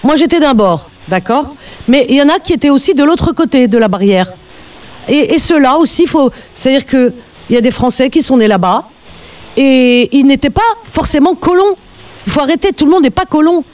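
A woman with a very high-pitched voice hosts a live radio call-in. She speaks earnestly and emphatically, often repeating a phrase to drive it home. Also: lively intonation, brisk, a little mellow.

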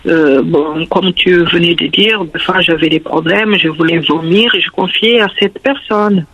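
A woman's voice: on the low side, unhurried, fairly bright tone, breathy edge. A woman from Brazil with a Brazilian Portuguese accent, calling a radio show and speaking hesitantly and earnestly with many fillers over the phone. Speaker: radio caller. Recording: dull phone line.

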